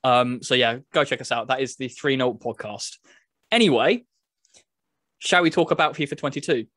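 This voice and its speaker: A man's voice: medium pitch, normal speed, fairly bright tone, no echo, studio quality. A man hosting a casual video chat about games, talking as a podcast presenter. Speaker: podcast host presenting a game review video.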